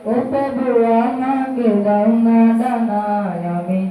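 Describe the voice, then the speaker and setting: A woman singing a devotional Islamic song in a drawn-out, melodic manner. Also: fairly high pitch, phone-quality sound, slight background noise. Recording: heavy echo, distant, backing sound.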